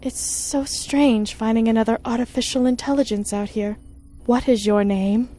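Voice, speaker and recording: A woman's voice: fairly high pitch, fairly even intonation, moderate pace, warm tone, natural voice. An actress playing a polite, coy and murderous female ship's artificial intelligence in a 1950s-style space radio serial. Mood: polite, intrigued. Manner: courteous, coy.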